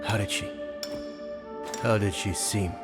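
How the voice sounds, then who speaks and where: An actor playing a blunt, driven wandering hero in a game cinematic, speaking in short, confrontational lines. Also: male voice, on the low side, fairly even intonation, takes it slowly. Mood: earnest. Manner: terse, confrontational. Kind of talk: video game cinematic acting. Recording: loud music behind the voice.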